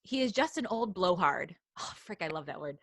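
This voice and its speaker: Woman podcast host reading a fanfic out loud, bright tense voice, dry close sound, laughs and chats in between. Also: fairly low pitch, expressive, normal speed, dry recording. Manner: reads story aloud with laughs and asides. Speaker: podcast co-host reading fan fiction aloud. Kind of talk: live podcast reading and casual chat.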